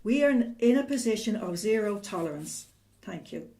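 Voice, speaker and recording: An Irish woman with a warm, dark-toned voice at a middling pitch. She speaks formally and declaratively, as a guest reading a prepared statement. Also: lively intonation, moderate pace. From Ireland.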